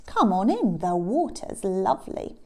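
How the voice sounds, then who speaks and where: A woman voicing a kindly, welcoming hippo in a children's storybook, gentle and reassuring. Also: mid-range voice, big pitch swings, a little slow.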